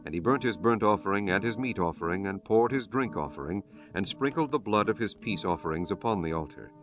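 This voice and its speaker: A man narrating a dramatized Bible audiobook in a solemn, measured, formal way. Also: fairly low pitch, animated. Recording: soft music bed under the voice.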